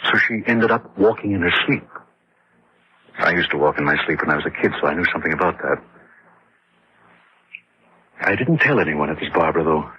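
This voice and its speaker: A man's voice: low voice, husky, exaggerated voice. An actor playing a defensive, rationalizing husband who tells his story in dramatic radio horror style. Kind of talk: dramatic first-person radio horror narration and dialogue. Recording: muffled old-time radio drama recording.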